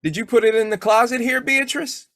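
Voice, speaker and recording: A man playing a blustering, excitable, foolish but affectionate husband in a folksy old-time radio comedy. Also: very high voice, a little fast, no room sound, clean studio sound. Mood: teasing, demanding. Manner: folksy exclamations, swooping emphasis.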